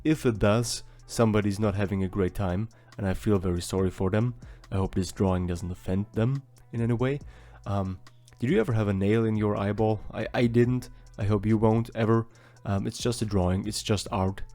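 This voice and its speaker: Man rambling casually, mellow low voice. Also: fairly even intonation, normal speed, hardly any pauses. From Austria. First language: German. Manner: rambling, self-deprecating, chatty. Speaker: artist vlogger. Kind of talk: casual voice-over while drawing.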